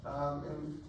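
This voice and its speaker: Hoarse male chemistry lecturer in echoey hall. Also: on the high side, a little mellow. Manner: casual, checks in often with "right?". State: hoarse, losing his voice. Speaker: chemistry instructor. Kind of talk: classroom lecture. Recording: echoey lecture hall, distant mic, faint room noise.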